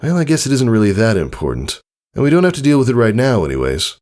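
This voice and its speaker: A caring father pegasus from a storybook, acted out by a man, patiently coaching his child. His delivery is earnest and instructive, with pitch that moves freely and sits above the reader's own low register. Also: huge pitch swings, fast talker, darkish tone. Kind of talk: dramatized storybook character reading.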